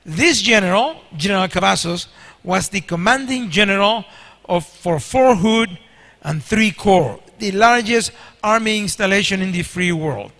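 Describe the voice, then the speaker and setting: A man's voice: high-pitched, expressive, unhurried, sharp tone. A man in his sixties whose first language is Spanish, preaching a sermon with stories and asking the congregation questions. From Spanish-speaking country. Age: 60s. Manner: storytelling, asks the congregation questions. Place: large church hall. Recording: long hall reverb.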